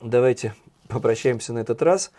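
A man co-hosts a news talk show, his voice hoarse and husky from a cold. He talks fast, with a dark, mellow tone. His delivery is sardonic and full of irony. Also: mid-range voice. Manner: sardonic, ironic. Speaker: co-host, journalist. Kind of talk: casual satirical news chat.

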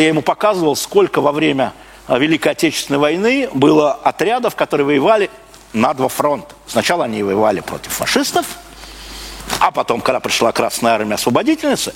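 A man from Russia giving a live public lecture, speaking emphatically with repeated phrases, rhetorical questions and broken-off sentences. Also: fairly high pitch. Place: live talk before an audience. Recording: clearly noisy live recording.

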